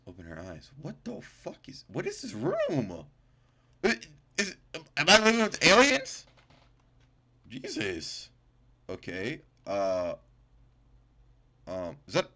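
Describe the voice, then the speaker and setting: A man's voice: mid-range voice, pushed and bright. A man hosting a gaming video, reading game text aloud, stumbling over words and breaking off into profane, incredulous commentary. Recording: music behind the voice.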